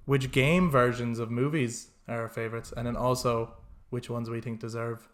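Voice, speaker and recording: A man co-hosting a podcast, chatting casually with animated, sweary rants and acted-out reactions. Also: medium pitch, moderate pace, a little hiss or hum. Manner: animated, sweary ranting, acts out imagined reactions. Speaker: podcast co-host. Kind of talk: casual chat about films and games.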